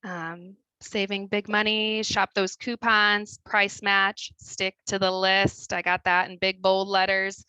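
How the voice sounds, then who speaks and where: Bright, crisp Midwestern woman dietitian. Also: mid-range voice, little pitch movement, takes it slowly, hardly any pauses, dry recording, poor audio. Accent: Midwestern American. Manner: chatty, with many fillers. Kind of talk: webinar presentation. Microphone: video call.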